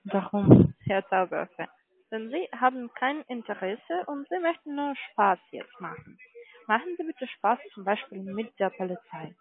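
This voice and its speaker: A woman's voice: on the high side, very animated, a little slow, slightly rough. Formal woman on a narrow phone line. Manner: formal, polite but firm. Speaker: call centre sales agent. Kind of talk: sales phone call.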